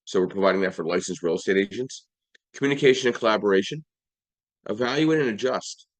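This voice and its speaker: A man hosting a live audio-room podcast, his voice a little hoarse, running through sponsors and lists in an upbeat way with frequent restarts. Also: lowish voice, lively intonation, fast, poor audio. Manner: upbeat, list-like delivery with restarts. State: slightly hoarse. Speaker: podcast host.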